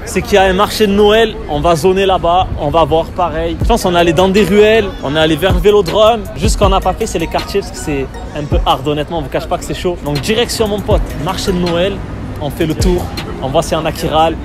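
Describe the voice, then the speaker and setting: A young man vlogging on the street, excitable and laughing often as he talks to his viewers. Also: high-pitched, quick pace. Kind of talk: street vlog, excited banter. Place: city streets at night, outdoors. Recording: street crowd and music behind.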